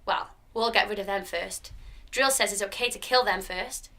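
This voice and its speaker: A woman's voice: mid-range voice, normal speed, lightly acted. A woman doing a creepy little girl. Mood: matter-of-fact. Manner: sweet and matter-of-fact about dark things. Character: eerie young girl, cheerful and secretive. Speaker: actor in a student radio drama. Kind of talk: radio drama acting.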